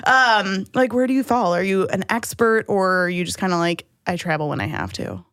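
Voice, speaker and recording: Lively teasing young woman host. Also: medium pitch, a little fast, clear, resonant tone, no echo, studio quality. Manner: bubbly, teasing, laughs a lot. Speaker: podcast host. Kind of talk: casual podcast chat.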